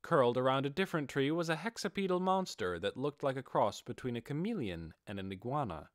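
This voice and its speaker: A man's voice: medium pitch, animated, fairly quick, no room sound, studio quality. A man reading scripted fantasy fiction as an audiobook narrator.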